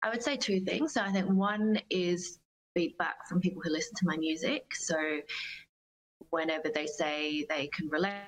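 A woman's voice: lowish voice, moderate pace, rough recording. A woman giving reflective, earnest interview answers as a guest musician, phrasing her thoughts carefully. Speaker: guest, singer-songwriter.

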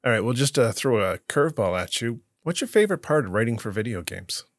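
A man hosting a podcast, asking casual questions in a relaxed, encouraging way. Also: mid-range voice, fast talker, no echo, clean studio sound. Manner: relaxed, encouraging, short reactions. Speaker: podcast host.

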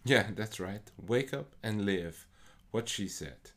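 A man with a Dutch accent, hosting a poetry podcast in a measured, scripted way. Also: on the low side, lively intonation, a bit bright. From Netherlands. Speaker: podcast host. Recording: faint music behind the voice.

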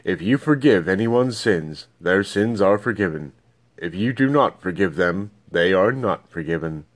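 A man voicing a calm, gentle, authoritative male teacher in a dramatised Bible reading. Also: low voice, measured pace.

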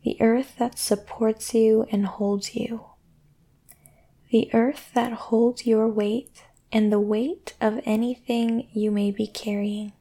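A woman guiding a sleep meditation in a soothing, gentle way, speaking deliberately with long pauses. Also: on the high side, little pitch movement. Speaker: meditation guide and podcast host. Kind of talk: guided sleep meditation, yoga nidra.

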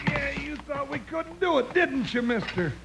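High-voiced grumbling cowboy sidekick. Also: male voice, animated, normal speed, vocal fry, heavily acted. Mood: taunting. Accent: American Western drawl. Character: big, grumbling, folksy comic cowboy sidekick. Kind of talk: 1950s radio western acting. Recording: noisy old-time radio recording.

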